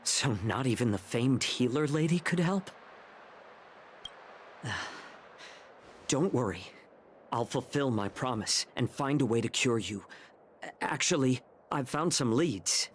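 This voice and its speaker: Expressive, wry military healer. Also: male voice, medium pitch, slow, pauses often, slight background noise. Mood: concerned. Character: polite, sly, wry male military healer and advisor. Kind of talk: scripted game voice acting. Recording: game music behind.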